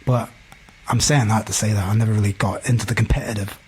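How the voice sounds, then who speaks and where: A man in his forties with a Belfast accent and a husky voice. He tells stories in a casual, candid way as a podcast guest, peppering his talk with "you know". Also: fairly low pitch, fairly even intonation, fast, darkish tone. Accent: Belfast Northern Irish. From West Belfast, Northern Ireland. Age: 40s. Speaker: podcast guest, hip-hop DJ. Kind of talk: casual conversational storytelling.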